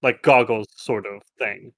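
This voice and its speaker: A man's voice: fairly low pitch, fairly even intonation, a little slow, a bit bright, no room sound. A man improvising casually as game master of a tabletop game, bantering with players.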